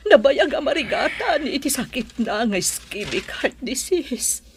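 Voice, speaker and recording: A woman with an Ilocano accent playing a gentle, concerned middle-aged mother in an audio drama. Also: sits high, sing-song, measured pace, over music, full character voice. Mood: sombre, concerned.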